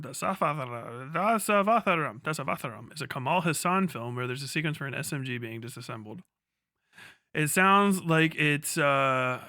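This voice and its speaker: Bright-voiced man chatting on a live stream. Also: on the high side, no room sound, studio quality. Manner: rambling, sweary, jokey. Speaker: livestreamer. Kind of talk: casual live-stream chat with viewers.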